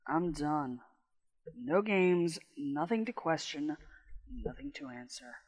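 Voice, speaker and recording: Man voicing a slow, bitter lioness goddess. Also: very animated, full character voice. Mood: bitter, resigned. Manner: slow, quiet, rumbling menace. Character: bitter, menacing lioness goddess, sullen and resentful.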